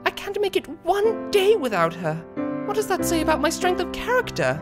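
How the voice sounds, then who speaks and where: A very animated, high-pitched young pony mare, played by a male narrator, refined and anxious. The delivery is fretful and emotive, in storybook style, over clear background music. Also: moderate pace, full character voice. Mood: self-reproachful.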